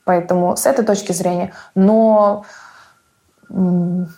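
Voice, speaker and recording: Animated woman chatting and laughing. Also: mid-range voice, takes it slowly. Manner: animated, laughing, acts out example phrases. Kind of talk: casual chat about learning English.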